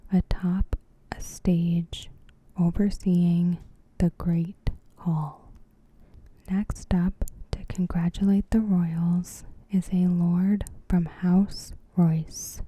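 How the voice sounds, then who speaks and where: A woman narrating an ASMR recap in a soft, breathy whisper, gentle and measured. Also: lowish voice.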